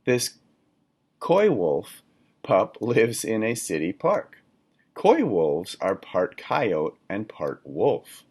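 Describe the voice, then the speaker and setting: A man reading a children's nonfiction book aloud in a deliberate, instructional manner, like a teacher. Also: fairly low pitch, wildly swooping pitch, darkish tone, slightly rough. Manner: deliberate, instructional, poses questions to listeners. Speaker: read-aloud reader, teacher.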